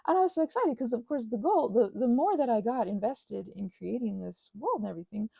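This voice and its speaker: Rambling, self-mocking woman with a creaky voice. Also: on the high side, fairly quick, dry recording. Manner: animated, self-mocking, digressive. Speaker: hobbyist creator talking to her audience. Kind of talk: casual rambling chat, ASMR channel.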